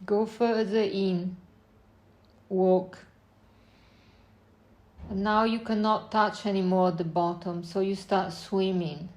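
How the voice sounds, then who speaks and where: Slow-paced woman guiding a meditation. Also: mid-range voice, little pitch movement. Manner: unhurried short phrases with long pauses, gentle instructing. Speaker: meditation guide. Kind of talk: guided meditation, slow spoken visualization.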